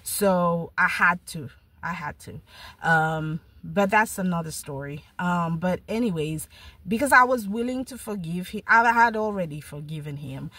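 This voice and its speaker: A woman's voice: on the low side, a little slow, bright, forceful tone, dry recording. A woman hosting a podcast, sharing a personal spiritual testimony in an earnest, reflective way, often repeating words as she starts a thought. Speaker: podcast host.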